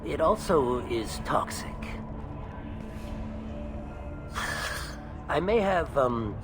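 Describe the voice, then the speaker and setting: A man's voice: on the high side, lively intonation, a little slow, music in the background. A man acting as a shifty, nervous alien merchant in a video game, with a wheedling, hesitant, theatrical delivery. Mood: anxious.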